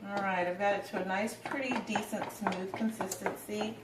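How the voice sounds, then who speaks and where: A woman giving a relaxed, chatty recipe demonstration at home, talking with plenty of fillers. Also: mid-range voice, normal speed, reverberant, recorded from a distance, heavy background noise. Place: home kitchen.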